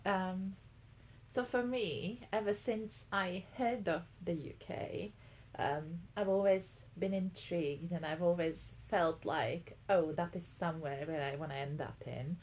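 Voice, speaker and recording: A woman's voice: lowish voice, unhurried, darkish tone, poor audio. A woman in her twenties from Latvia, co-hosting a podcast in casual chat, talking with many ums and likes. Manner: chatty, lots of ums and likes. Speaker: podcast co-host.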